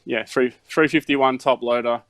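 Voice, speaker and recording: A man's voice: mid-range voice, fairly even intonation, moderate pace, fairly bright tone, no room sound. Middle-aged Danish-born man, laid-back interview guest, dry close mic. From Denmark. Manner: laid-back storytelling with fillers and chuckles. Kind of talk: casual chat.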